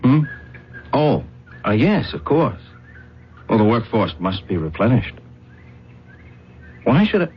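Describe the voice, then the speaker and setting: An actor playing a cold, emotionless humanlike alien in a radio drama, speaking in a formal, deliberate, detached way. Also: male voice, medium pitch, big pitch swings, a little hiss or hum. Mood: puzzled, stiff. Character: cold, emotionless humanlike alien man bent on invading Earth. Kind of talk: radio drama acting with first-person narration. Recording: muffled radio drama recording, loud music behind.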